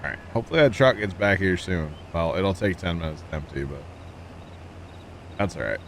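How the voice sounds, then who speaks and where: Low-voiced man rambling on a game stream. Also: animated, a little fast, fairly bright tone, slight background noise. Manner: rambling, jokey storyteller, chuckles often. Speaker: livestream gamer. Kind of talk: casual livestream chat with viewers.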